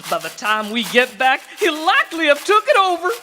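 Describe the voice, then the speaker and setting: A woman's voice: high-pitched, big pitch swings, bright, forceful tone, heavily acted. A woman playing a taunting, drawling outlaw in a Western radio drama, recorded on a theater stage. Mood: gloating. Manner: drawn-out, taunting delivery with chuckles. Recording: noisy stage recording in echoing hall.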